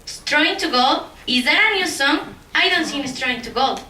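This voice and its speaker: A woman's voice: high voice, animated, normal speed, pushed and bright. A woman voicing a simple young country girl, helpless and plaintive, in a fairy tale. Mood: puzzled. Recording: roomy, distant, some noise.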